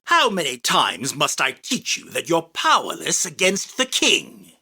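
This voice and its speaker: An imperious champion duelist from a video game, who speaks as a self-crowned king. The voice is husky and forceful, swinging hugely in pitch. Every line comes out slow, grandiose and commanding. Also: wildly swooping pitch, full character voice. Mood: haughty, proud. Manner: pompous, theatrical, commanding. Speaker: voice actor. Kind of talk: video game character battle lines.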